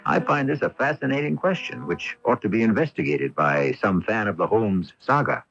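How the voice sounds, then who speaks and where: A man's voice: fairly low pitch, animated, normal speed, vocal fry, fairly bright tone, husky. A man hosting a radio drama, delivering a scripted introductory commentary. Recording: dull AM radio tape with music.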